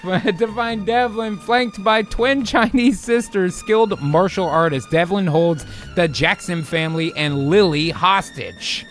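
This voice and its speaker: A man working as a voice actor, narrating an improvised comedic radio drama in a casual, animated way. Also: high voice, unhurried, hardly any pauses.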